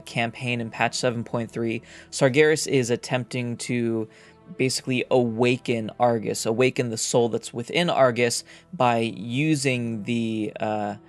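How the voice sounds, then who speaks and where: A man giving casual, explanatory commentary as a gaming video host, feeling his way through his phrases with some repetition. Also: medium pitch. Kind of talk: casual game lore commentary.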